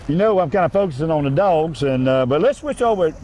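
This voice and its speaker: A man's voice: fairly high pitch, lively intonation, fast, darkish tone, a little hiss or hum. Folksy male host outdoors. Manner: folksy, drawling, chatty with fillers. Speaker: show host. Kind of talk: folksy interview at a horse expo. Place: outdoors at a horse event.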